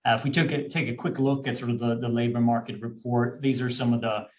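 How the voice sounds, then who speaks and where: A man in his thirties from Sweden, speaking English at a middle pitch for a man. He explains things in a friendly way, often pausing on fillers and restarting a phrase. He is heard over a video call microphone, like a guest on a webinar. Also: fairly even intonation, darkish tone. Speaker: executive recruiter, guest. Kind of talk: webinar presentation.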